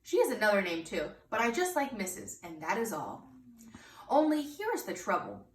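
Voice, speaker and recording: Highly expressive woman reading a storybook. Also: moderate pace. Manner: emphatic, addresses children directly. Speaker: storybook reader for children. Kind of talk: expressive read-aloud narration. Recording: echoey room, distant mic, faint noise.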